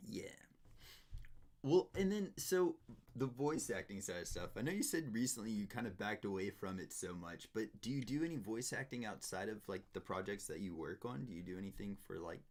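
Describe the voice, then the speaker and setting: Male podcast host, slightly low voice, friendly and eager, casual questioning. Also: lively intonation, brisk. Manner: upbeat, eager, lots of likes and yeahs. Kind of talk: casual interview chat.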